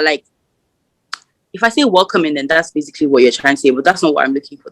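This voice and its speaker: A woman's voice: on the low side, a bit bright, no room sound, poor audio. A woman from Nigeria in a casual group podcast chat, talking in a blunt, teasing way. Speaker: podcast guest.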